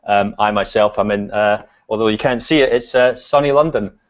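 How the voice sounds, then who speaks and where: A Scottish man, a guest on a video call show, chatting casually with relaxed fillers and restarts. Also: lowish voice, moderate pace. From Scotland. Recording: dull lo-fi video call audio.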